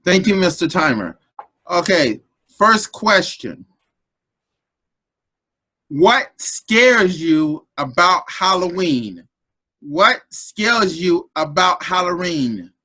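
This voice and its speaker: A man's voice: sits high, big pitch swings, very slow, long pauses, sharp tone. A man leading the impromptu speaking segment of a club meeting over a video call, playful and repeating his questions. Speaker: table topics master.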